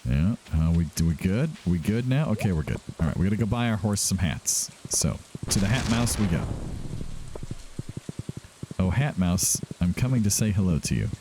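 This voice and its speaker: A man's voice: deep voice, expressive, moderate pace, noisy background. A man doing casual let's-play commentary as a gaming host, chatting to himself and to the game's characters. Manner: talks to himself and to game characters.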